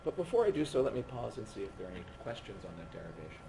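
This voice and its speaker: Hoarse low-voiced male physics lecturer. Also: sing-song. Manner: halting, stopping mid-phrase to write. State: losing his voice. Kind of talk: university lecture at a board. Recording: noisy classroom recording.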